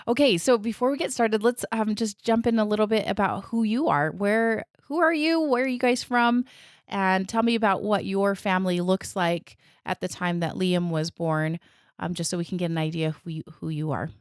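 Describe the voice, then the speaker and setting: A woman's voice: medium pitch, normal speed, smooth, no room sound, clean studio sound. A woman hosting a podcast interview, chatty and encouraging, with fillers and restarts. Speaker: podcast host.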